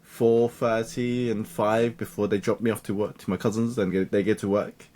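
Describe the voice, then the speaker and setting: Low-voiced man telling his story. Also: moderate pace. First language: Cantonese. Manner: animated, acts out quoted voices of family and peers. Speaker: guest. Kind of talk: conversational interview storytelling.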